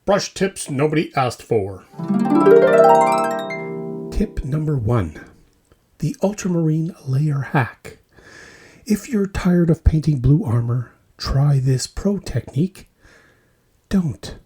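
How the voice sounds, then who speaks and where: A man's voice: a little high, lively intonation, takes it slowly, long pauses, music in the background. A man hosting a scripted, tongue-in-cheek news roundup in short, punchy phrases. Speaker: host of a tabletop wargaming hobby news podcast. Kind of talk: scripted comedic news roundup with mock commercials.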